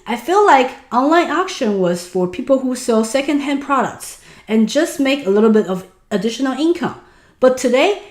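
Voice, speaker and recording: A woman's voice: fairly high pitch, reverberant. A woman in her thirties from Taiwan, native Mandarin speaker with a Taiwanese accent, earnestly presenting a webinar on online selling and asking viewers to respond in the chat. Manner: animated, earnest explaining, asks audience to type in chat. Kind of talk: online business webinar talk.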